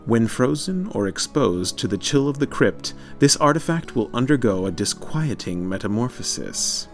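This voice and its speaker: A man's voice: mid-range voice, very animated, moderate pace, soft background music. A man reading scripted narration in a formal, theatrical manner.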